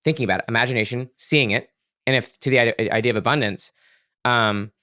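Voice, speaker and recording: A man's voice: medium pitch, quick pace, bright, forceful tone, clean tone, no echo. Earnest man explaining ideas, dry close sound. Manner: earnest, emphatic, repeats words for emphasis. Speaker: podcast guest, author and psychologist. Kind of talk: conversational explaining in an interview.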